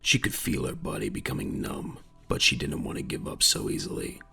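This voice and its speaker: Very deep, gravelly male narrator. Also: wildly swooping pitch, fairly quick, bright, forceful tone. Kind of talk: dramatic scripted audio drama narration. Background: faint music.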